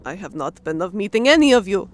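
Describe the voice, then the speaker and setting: Shy young beast-woman, played by a male narrator: raised pitch, earnest, broken English, fantasy storybook. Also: sing-song, close to an everyday voice. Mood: surprised. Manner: halting, oddly phrased broken English.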